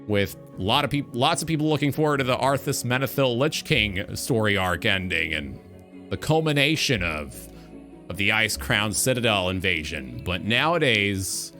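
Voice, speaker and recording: A man hosting a talk show, giving opinionated commentary on gaming in an emphatic, deliberate way with ornate wording. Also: medium pitch, pushed and bright.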